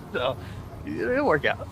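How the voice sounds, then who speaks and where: A man's voice: fairly high pitch, huge pitch swings, slow pace. Teasing young man, noisy street. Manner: teasing, laughing often, restarts words. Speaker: streamer. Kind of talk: joking casual chat. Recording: noisy outdoor street recording.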